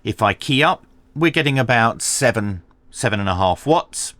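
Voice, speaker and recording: Male reviewer, methodical and explanatory, medium pitch with lively inflection, dry recording. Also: normal speed, dry recording. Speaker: radio reviewer presenting a video. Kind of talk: hands-on product review commentary.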